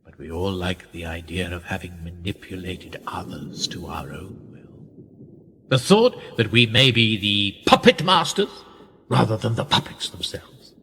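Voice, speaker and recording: An actor playing a sly, persuasive older conspirator in a radio play, theatrical and insinuating, with husky, drawn-out, often hushed phrases. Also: male voice, low-pitched, animated, heavily acted. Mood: sly, tempting. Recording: echoey hall-like reverb.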